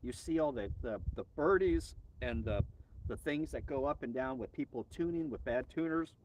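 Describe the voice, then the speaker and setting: A man's voice: mid-range voice, animated, normal speed, a little mellow. An American man hosting a casual live stream as a ham radio hobbyist, chatting in an off-the-cuff way. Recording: distant mic, faint background noise.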